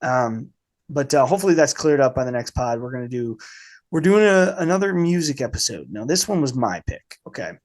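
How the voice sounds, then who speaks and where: A man hosting a casual film podcast, speaking informally and haltingly with a slight cold in his voice. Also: medium pitch, expressive. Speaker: podcast host.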